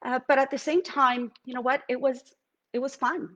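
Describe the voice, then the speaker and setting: A woman's voice: high-pitched, steady tone, moderate pace, fairly bright tone, highs cut off. A middle-aged woman from Venezuela with a Venezuelan Spanish accent, giving a live artist talk from her studio. She speaks reflectively, with many fillers and restarts. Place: home art studio.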